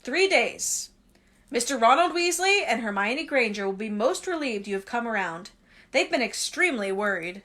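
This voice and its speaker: A woman voicing a kindly old male wizard headmaster, speaking gently and unhurriedly with dry humor, while reading a storybook aloud. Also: a little high, big pitch swings, moderate pace. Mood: gentle.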